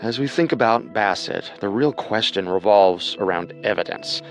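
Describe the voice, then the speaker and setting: Male true-crime co-host, lowish pitch, serious and measured scripted read over faint music. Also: vocal fry.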